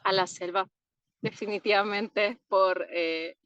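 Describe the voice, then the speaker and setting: This is a young woman from Peru, a plant-medicine practitioner, giving relaxed interview answers. She talks slowly and reflectively, laughing softly now and then. Her voice is smooth and clear, recorded close and dry. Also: mid-range voice, no echo, highs cut off. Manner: unhurried, thoughtful, soft laughs between answers. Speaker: interview guest, herbalist.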